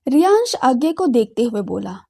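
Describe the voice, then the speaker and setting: A woman narrating a scripted audio drama with expressive storytelling. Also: sits high, normal speed, vocal fry.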